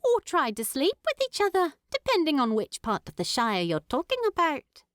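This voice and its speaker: A woman's voice: sits high, wildly swooping pitch, normal speed, dry recording, studio quality, pitched above the performer's own voice. A woman voicing a plain-spoken, wry hobbit countrywoman with a folksy manner in an audiobook. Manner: rustic, folksy. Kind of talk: audiobook character voice.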